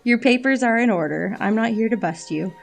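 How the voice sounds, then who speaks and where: A woman's voice: on the high side, lively intonation, a little fast, creaky. A woman playing a wry, world-weary agent in a scripted audio drama, with a dry, sardonic delivery. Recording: faint music under the voice.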